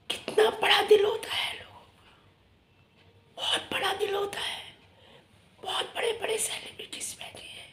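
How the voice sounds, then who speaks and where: Hoarse whispery woman vlogging. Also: extremely high pitch, pushed and bright, roomy sound. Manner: emphatic, whispery from a sore throat. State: hoarse, lost voice. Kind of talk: casual talk to camera. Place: small room indoors.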